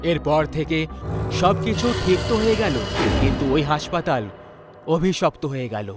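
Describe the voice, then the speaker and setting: A man's voice: fairly high pitch, expressive, moderate pace. A man delivering scripted narration of a horror story as a storyteller. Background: music.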